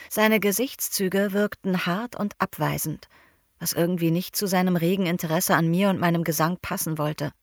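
A woman narrating a first-person novel in German, calm and measured. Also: fairly low pitch, no room sound, studio quality. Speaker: audiobook narrator.

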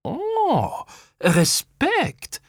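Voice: mid-range voice, animated, moderate pace, no echo, studio quality, voice pushed up, natural voice. A storyteller voicing a friendly, eager schoolboy in a children's audiobook. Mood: excited, friendly. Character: Kaya, friendly eager schoolboy with bright excited voice.

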